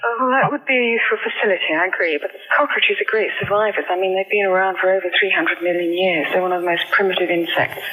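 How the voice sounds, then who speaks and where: Woman expert on the phone, bright crisp-edged voice, explains things exactly and politely corrects you. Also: lowish voice, fairly even intonation, fast talker, hardly any pauses, low-quality recording. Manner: precise, matter-of-fact, gently correcting. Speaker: museum insect expert.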